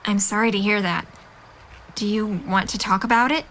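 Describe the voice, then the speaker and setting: Bright, earnest young woman, played by an actress. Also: medium pitch, moderate pace, slight background noise, close to an everyday voice, rough recording. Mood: concerned. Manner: patient, reassuring, sincere. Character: kind, earnest, encouraging young female friend. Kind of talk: audio drama dialogue.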